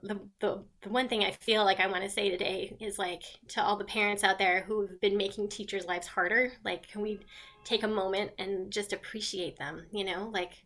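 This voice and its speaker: A woman's voice: medium pitch, steady tone, moderate pace, fairly bright tone. A woman who writes children's books, speaking earnestly in a radio interview, with many fillers and restarts. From born in Venezuela, raised in South America and the US. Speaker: children's book author.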